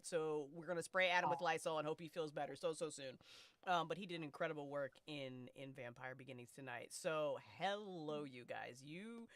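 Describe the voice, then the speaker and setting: A woman hosting a casual livestream, excitable and hyping, with slangy talk. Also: fairly low pitch, dry recording. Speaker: podcast host, writer-director.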